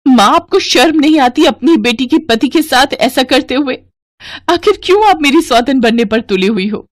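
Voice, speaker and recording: A woman's voice: sits high, lively intonation, moderate pace, voice pushed up. A woman voicing an earnest young married daughter in a story, with an emphatic, pleading manner. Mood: indignant. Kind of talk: Hindi moral-story cartoon voice acting.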